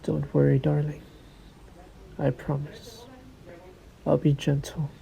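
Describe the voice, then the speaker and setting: A man's voice: completely flat delivery, dark tone, full character voice, rough recording. A man voicing a sadistic, possessive vampire master in an ASMR roleplay, coaxing and commanding in a condescending murmur that often sinks into a whisper. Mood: hungry, seductive. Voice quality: low gravelly murmur, often sinking into whisper. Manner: very slow, deliberate, coaxing and commanding.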